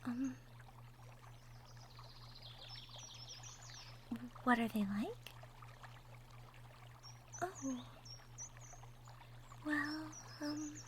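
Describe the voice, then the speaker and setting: A woman playing a shy, sweet young cottagecore woman in love, speaking softly and hesitantly in an intimate roleplay audio drama. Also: a little high. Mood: flustered, bashful. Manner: hesitant, bashful, soft-spoken, trailing off. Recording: faint background noise, birdsong effects. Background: birdsong, outdoor nature sound effects.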